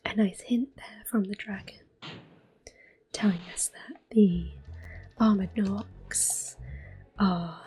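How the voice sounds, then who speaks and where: A woman whispering gently and unhurriedly in a soft, breathy voice, commentating a game in ASMR style up close to the microphone. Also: medium pitch, soft background music. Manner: gentle, unhurried, softly spoken. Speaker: ASMR gaming host.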